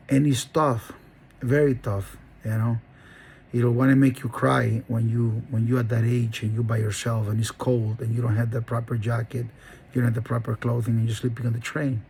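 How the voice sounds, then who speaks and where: A man's voice: medium pitch, darkish tone, slight background noise. A middle-aged man from Honduras, telling his life story in an earnest, rambling monologue full of restarts. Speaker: dog breeder and channel host. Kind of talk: personal monologue.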